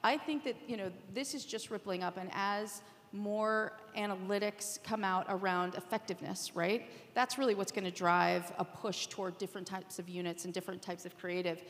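A woman's voice: medium pitch, steady tone, normal speed, hardly any pauses. A woman speaking off the cuff on a conference panel, explaining things in an informal way. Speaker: panelist, media executive. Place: conference hall, live panel. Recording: echoey hall with long reverb.

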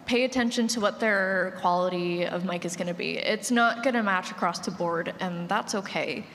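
A woman's voice: fairly low pitch, moderate pace, a bit bright. A woman giving casual advice as a panelist. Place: large room with audience. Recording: echoey hall with faint noise.

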